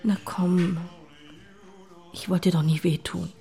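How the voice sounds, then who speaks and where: A woman's voice: on the low side, little pitch movement, slow, gravelly, dark tone. An actress playing a practical, matter-of-fact woman, the exasperated best friend, in radio play style. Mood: patient, skeptical. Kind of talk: German radio play acting. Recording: music underneath.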